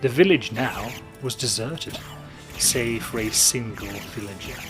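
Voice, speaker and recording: Gentleman narrator, theatrical and measured, over steady music. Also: mid-range voice. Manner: theatrical, genteel, measured storytelling. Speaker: storyteller host. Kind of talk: scripted narration. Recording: music behind.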